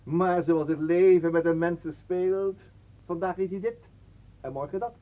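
An actor playing a jovial, intrusive talker in a radio play, flowery, pompous and mock-courteous. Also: male voice, high-pitched, a little slow. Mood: chatty, pompous. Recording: muffled, phone-like old radio recording.

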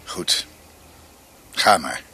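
An actor playing a grave, devoted older doctor in a Dutch radio drama, with a husky voice. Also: male voice, drawn-out words, sharp tone, heavily acted. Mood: tender farewell.

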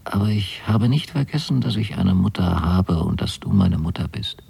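An actor playing a naive, wryly fastidious son in a radio drama, telling of his new life in measured, precise, deliberate phrases. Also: low voice, vocal fry, dark tone, husky, exaggerated voice. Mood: intimate, reflective. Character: young man writing letters to his mother, wryly fastidious and naive. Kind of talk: literary radio drama monologue.

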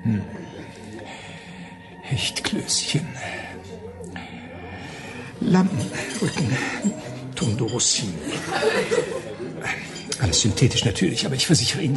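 An actor playing a nervous, secretive male psychic client in a radio play, hesitant, hushing and fussily polite. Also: medium pitch, lively intonation, unhurried, long pauses, music in the background. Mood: fussy, connoisseur-like. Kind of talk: German radio play acting.

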